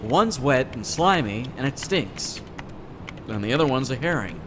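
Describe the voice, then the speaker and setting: A man's voice: medium pitch, animated, unhurried, close to an everyday voice. Jaded, sardonic teenage boy, acted by a man, noisy recording. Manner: jaded, dry, matter-of-fact. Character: gloomy, sardonic teenage boy writing a suicide note. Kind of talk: scripted comic-dub acting, first-person narration and dialogue.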